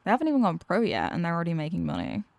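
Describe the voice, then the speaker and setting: A woman's voice: lowish voice, wildly swooping pitch, rapid-fire, creaky, a little mellow, dry recording, full character voice. A woman playing an exasperated, sarcastic supervillain who is secretly weary of evil, in a theatrical comedic ASMR roleplay monologue. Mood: resigned griping.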